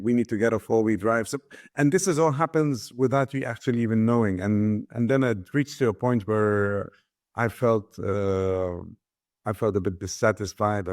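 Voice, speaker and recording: A middle-aged man from Ghana speaks in a somewhat low voice, reflecting on his own story. He often pauses, restarts and repeats words as he works out his thoughts. The sound is dry and close. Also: dry recording. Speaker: guest, business founder and author.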